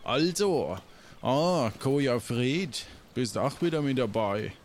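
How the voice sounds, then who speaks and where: A man's voice: medium pitch, expressive, slow pace, slight background noise. A man voicing an easygoing, folksy harbour guard with a drawl in an improvised audio play. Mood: folksy, welcoming. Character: easygoing male harbour guard of a pirate town.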